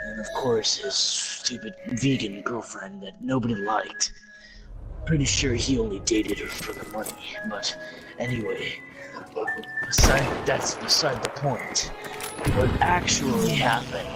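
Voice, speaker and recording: A man improvising a comic story among friends in a mock-serious, dramatic, drawn-out way. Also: mid-range voice, rough recording. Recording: loud music behind. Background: loud music.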